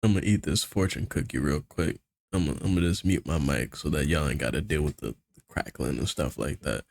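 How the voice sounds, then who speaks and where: A man's voice: very deep voice, fairly quick, vocal fry, darkish tone. An American man hosting a casual reaction commentary, talking in slang with a skeptical, critical manner. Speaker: reaction video host.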